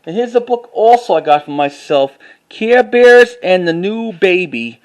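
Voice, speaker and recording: Guy w a pretty high voice doing a casual collection show and tell, halting, repeats himself, reads titles out. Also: animated. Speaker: collector showing finds to camera.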